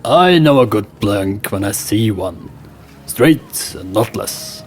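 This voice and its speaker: A man's voice: fairly low pitch, animated, slow, soft background music. A man voicing a stern, commanding Norse crew leader. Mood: forceful. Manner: commanding, emphatic.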